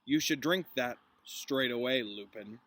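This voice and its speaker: A man's voice: medium pitch, a little slow, fairly bright tone, no echo, close to an everyday voice. A man voicing a cold, watchful, unsmiling potions master in a storybook, curt and aloof.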